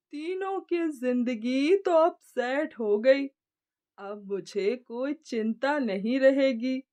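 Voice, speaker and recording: A woman voicing an aggrieved older father in a storybook tale, with a drawn-out, lamenting delivery. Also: sits high, darkish tone. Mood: proud, satisfied. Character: aggrieved older father, proud then bitterly disappointed. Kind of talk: acted dialogue in a moral storybook tale.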